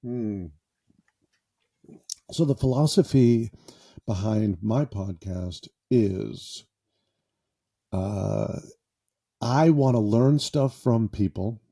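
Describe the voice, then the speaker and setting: A man's voice: on the low side, extremely slow, long pauses, mellow, slightly rough. A man hosting a podcast, joking about himself in a loose, improvised monologue from a small foam-padded closet. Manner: self-deprecating and jokey. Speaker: podcast host.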